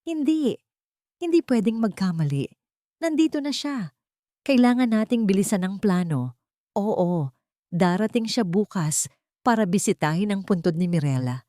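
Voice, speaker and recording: A woman's voice: medium pitch, lively intonation, normal speed, pauses often, warm tone, no room sound, clean studio sound, lightly acted. A woman narrator voicing an angry, scheming woman plotting urgently on the phone in an audio drama. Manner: urgent, conspiratorial.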